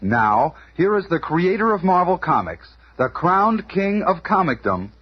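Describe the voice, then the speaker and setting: A man announcing a 1970s radio show with booming showman hype. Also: sing-song, unhurried, breathy edge, heavily acted. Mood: hyping. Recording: dull 1970s radio recording with music.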